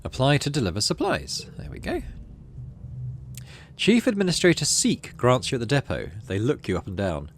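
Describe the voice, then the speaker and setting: A man's voice: mid-range voice, wildly swooping pitch, moderate pace, a little hiss or hum. A man hosting a gaming playthrough, reading story text aloud and adding casual commentary. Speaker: gaming channel host.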